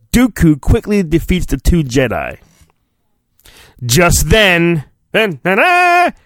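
A man's voice: sits high, a bit bright, no room sound, clean studio sound. A man hosting a podcast, giving a mock-dramatic storybook reading and breaking off for irreverent, crude asides. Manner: theatrical, irreverent, often breaking off to comment. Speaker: podcast host.

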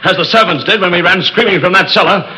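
An actor playing Stanley, an earnest young suitor, in 1930s radio drama acting with an eager manner. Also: male voice, sits high, fast, pushed and bright, rough recording. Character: earnest young suitor who comforts Edith.

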